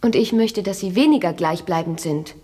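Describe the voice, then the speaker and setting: A woman playing a spirited, sharp-tongued, mocking young gentlewoman in a German radio play. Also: lowish voice, moderate pace, long reverb tail, lightly acted. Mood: teasing. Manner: mocking, playful.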